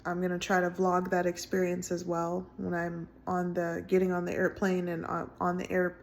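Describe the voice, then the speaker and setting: A woman in her thirties talking in a casual personal vlog from home, soft-spoken and rambling with many fillers. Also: fairly low pitch, completely flat delivery, normal speed, gravelly, slight background noise. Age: 30s. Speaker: vlogger. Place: indoors at home, window closed.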